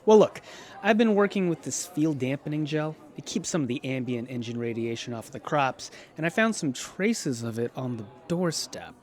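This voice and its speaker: A man's voice: medium pitch, lively intonation, moderate pace, lightly acted. An actor playing an earnest, proud but polite male outcast farmer with a hesitant manner in a sci-fi radio drama. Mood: hesitant, puzzled.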